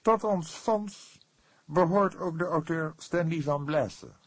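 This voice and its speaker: Man acting a high-pitched, pompous male publisher. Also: takes it slowly, no echo. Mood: formal, proud. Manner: formal, emphatic, pompous. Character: irritable, self-important male literary publisher, formal and proud. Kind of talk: Dutch audiobook character voice.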